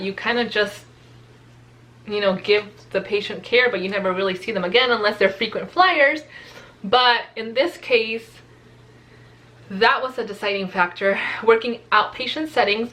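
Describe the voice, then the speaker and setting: Young woman, native Spanish speaker, earnest casual vlogger; chatty, filler-heavy delivery at an ordinary pitch. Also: fairly bright tone, low-quality recording. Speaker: nurse practitioner student vlogger. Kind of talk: casual vlog to camera.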